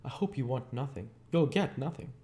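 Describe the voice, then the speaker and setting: A man's voice: medium pitch, lively intonation, a little fast, mellow, voice pushed up, lightly acted. A man voicing a bitter, imperious old woman from a classic novel, speaking in abrupt, taunting exclamations. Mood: cold, suspicious. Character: Miss Havisham, bitter imperious old woman, maliciously gloating.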